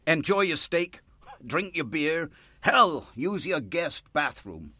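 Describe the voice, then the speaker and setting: Bitter man in a radio drama, desperate and pushy, pitch all over, dry close sound. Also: sing-song, takes it slowly, bright, forceful tone, no room sound, heavily acted. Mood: bitter, resigned. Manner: insistent, sardonic, emotionally volatile. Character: desperate, bitter man pleading and manipulating his pastor for money. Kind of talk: radio play acting.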